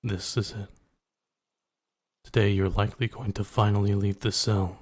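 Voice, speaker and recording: A man's voice: on the low side, flat delivery, normal speed. A man narrating a scripted story in the second person, as an introduction to a roleplay.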